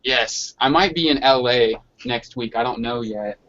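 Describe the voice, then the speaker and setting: A man's voice: mid-range voice, moderate pace, fairly bright tone. A man chatting casually in slangy, sweary language over an online hangout call with dull, lo-fi sound. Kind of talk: casual chat. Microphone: online video hangout.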